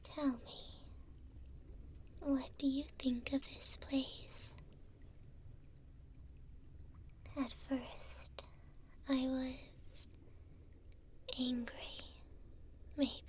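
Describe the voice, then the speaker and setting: A woman playing a gentle, hopeful imprisoned elf in an ASMR roleplay, speaking tenderly in a soft, breathy whisper close to the mic with pauses between short phrases. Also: high voice. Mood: calm, reflective. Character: gentle, hopeful female elf prisoner in a dungeon. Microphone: close binaural microphone.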